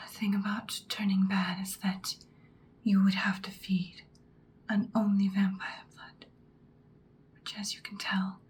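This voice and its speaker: A woman playing a commanding female vampire mentor in a whispered ASMR roleplay, speaking softly, breathily and deliberately. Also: mid-range voice, slight background noise, lightly acted. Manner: slow, deliberate, instructing, ominous. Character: ancient-seeming female vampire mentor, commanding, once ruthless, now good.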